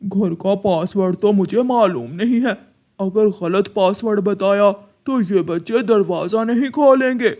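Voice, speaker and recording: A man voicing a scheming, boastful male crow, the villain of an animated moral story. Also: sits high, unhurried. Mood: plotting.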